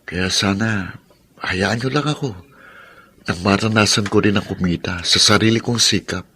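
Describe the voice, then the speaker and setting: A man playing an earnest, contrite young son in a Filipino radio drama, with a husky voice and respectful delivery. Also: on the low side, moderate pace, sharp tone.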